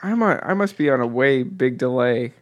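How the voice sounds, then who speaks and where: A man's voice: mid-range voice, animated, moderate pace. A man in casual conversation, eagerly explaining things with lots of fillers. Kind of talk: casual chat over a delayed call.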